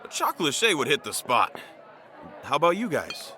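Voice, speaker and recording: An easygoing companion played by a man, bright and very animated in intonation. He sounds casual and friendly in a fantasy audio drama, with faint noise behind. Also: on the high side, moderate pace, a faint crowd, heavily acted. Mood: friendly, tired.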